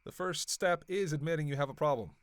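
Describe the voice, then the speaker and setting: A man's voice: on the high side, fast talker, clear, resonant tone, dry recording, close to an everyday voice. A man playing a sardonic, flirtatious, long-lived vampire killer in a roleplay audio drama, with casual, teasing, sweary banter. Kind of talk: casual roleplay audio acting, one side of a dialogue.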